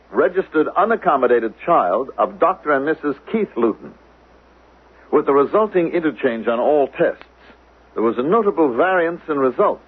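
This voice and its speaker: Officious investigator, swooping pitch, old radio. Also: male voice, breathy edge. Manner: formal, precise, commanding. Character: controlled, officious male investigator of a future state. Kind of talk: 1970s radio drama acting. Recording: narrow, lo-fi old radio recording, faint noise.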